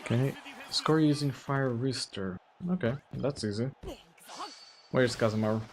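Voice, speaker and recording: Slow, easygoing male game streamer. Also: mid-range voice. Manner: relaxed, rambling with many fillers. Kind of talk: casual gameplay commentary.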